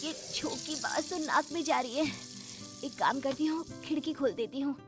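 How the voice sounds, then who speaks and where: A woman's voice: high-pitched, normal speed, pitched above the performer's own voice, lightly acted. A woman voicing a timid, anxious young bride with breathless exclamations, in a cartoon story over music. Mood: curious, wary.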